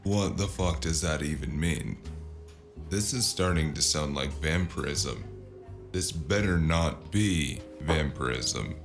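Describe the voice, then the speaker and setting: A man playing a sardonic, battered spacer in a noir audio drama, with a gravelly voice and a wry, deadpan delivery. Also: a bass voice, takes it slowly, soft background music, heavily acted. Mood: exasperated.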